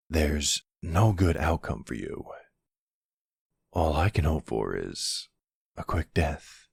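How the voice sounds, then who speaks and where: A man playing a smug, flirty vampire in an intimate ASMR roleplay, teasing and softly menacing, with a husky, drawling delivery. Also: no echo, clean studio sound, full character voice. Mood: resigned, tender. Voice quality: husky, gravelly, very deep. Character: flirty, smug male vampire taunting a captive hunter.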